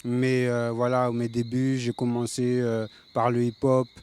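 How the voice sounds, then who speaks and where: A man's voice: medium pitch, completely flat delivery, a little slow, darkish tone, clear, resonant tone. A man in his late thirties from the Paris suburbs, a reggae singer, talking in a laid-back way with many fillers. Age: 30s. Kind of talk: casual interview.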